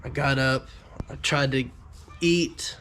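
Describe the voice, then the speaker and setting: A young man talks to his viewers about a fishing trip, still a little nasal as he gets over being sick. His voice sits in a middle pitch, and he rambles loosely with plenty of ums and restarts. A faint hiss of noise runs behind him. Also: steady tone, slow, a bit bright. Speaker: fishing vlogger. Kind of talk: casual talk to camera.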